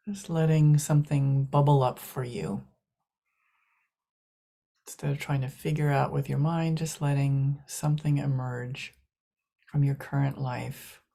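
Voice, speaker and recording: A woman leading a guided meditation in a soft, hushed manner, leaving long pauses between short phrases. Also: rumbling low, creaky.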